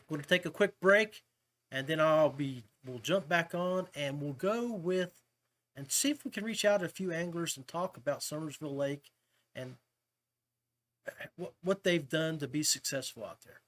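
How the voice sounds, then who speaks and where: A man's voice: fairly high pitch, normal speed, long pauses, fairly bright tone. A man casually hosting a podcast, talking directly to his listeners, with music behind him. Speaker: podcast host.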